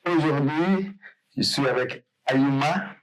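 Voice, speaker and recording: A man's voice: a little high, slow, low-quality recording. A man hosting a podcast interview, talking loosely and rambling, often correcting himself. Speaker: podcast host.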